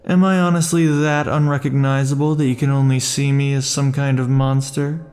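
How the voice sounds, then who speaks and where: A man voicing an obsessive, possessive male immortal in an ASMR roleplay, talking close to the mic in a slow, intimate, brooding way. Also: mid-range voice, little pitch movement, a little mellow, lightly acted. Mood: mocking. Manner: slow, intimate, brooding delivery with sudden furious outbursts.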